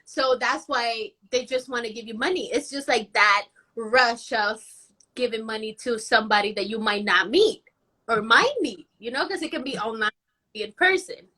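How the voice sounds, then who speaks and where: Bold, bright-voiced young woman. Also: a little high, moderate pace. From Puerto Rico. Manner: bold, blunt, self-assured. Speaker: guest. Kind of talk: casual interview.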